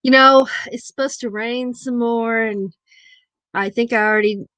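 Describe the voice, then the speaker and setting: A woman's voice: a little high, steady tone, unhurried, a bit bright, dry recording. A woman from southern Missouri with a southern Missouri accent, chatting casually with viewers as host of a livestream. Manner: chatty, reads viewer chat aloud. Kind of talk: casual live chat.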